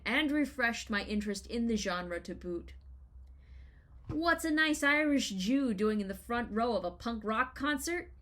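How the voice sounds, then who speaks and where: An American woman in her thirties with a fairly high voice reads her own personal essay aloud. She narrates in the first person from a script. Her storytelling is expressive and wry. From Los Angeles, United States. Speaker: writer reading their own personal essay.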